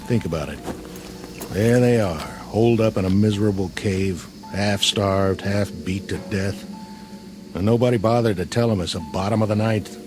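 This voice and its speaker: An actor playing a tough, weary army sergeant who talks in a gruff, sarcastic way. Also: male voice, fairly low pitch, normal speed, close to an everyday voice. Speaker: actor in a war drama. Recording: old TV soundtrack with music.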